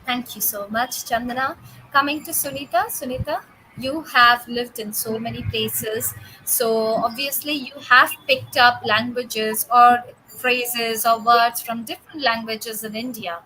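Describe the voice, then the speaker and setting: A woman's voice: fairly high pitch, fairly even intonation, a little slow, pushed and bright, a little hiss or hum, rough recording. A woman moderating a panel discussion, asking long, formal questions and handing the floor to each panelist.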